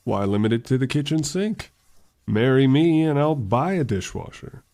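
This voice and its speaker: A man acts a devoted suitor, a lawyer, in a radio play. His voice is soft-edged and mellow at a middling pitch. He talks in an easygoing, wry and patient way. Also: expressive, normal speed, close to an everyday voice. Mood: teasing. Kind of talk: old-time radio drama acting.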